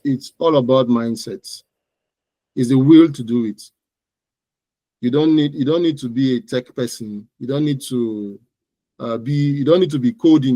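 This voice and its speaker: A man's voice: medium pitch, mellow, no echo. A man with a Nigerian accent, an expert guest explaining informally and repeating phrases for emphasis. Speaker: guest expert, digital marketer. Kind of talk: informal explanatory interview talk.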